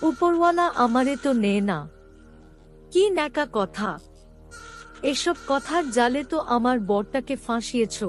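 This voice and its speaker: A woman's voice: high voice, lively intonation, a little slow, pauses often, faint music behind. A woman voicing a cruel, sneering young stepmother in a cartoon, scolding and sarcastic. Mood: spiteful, mocking. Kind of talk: Bengali horror cartoon voice acting.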